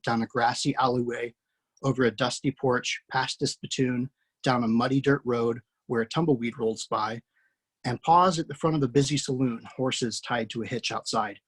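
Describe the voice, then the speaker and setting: A man hosting and narrating a screenplay table read, relaxed and chatty, with many fillers. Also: mid-range voice, breathy edge. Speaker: writer and host.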